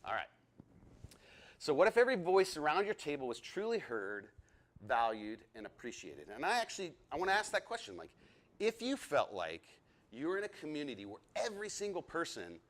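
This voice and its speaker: Casting: thirties man, group teacher, eager and talkative, average pitch. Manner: excitable, talks a lot, asks the group questions. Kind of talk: interactive leadership lesson.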